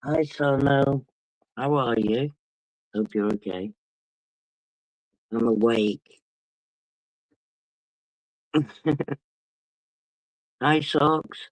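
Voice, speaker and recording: A man's voice: mid-range voice, extremely slow, long pauses, dry recording, poor audio. A man from England with a British accent, casually chatting with viewers on a late-night phone stream because he can't sleep. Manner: chatty, greets viewers by name. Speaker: livestream host.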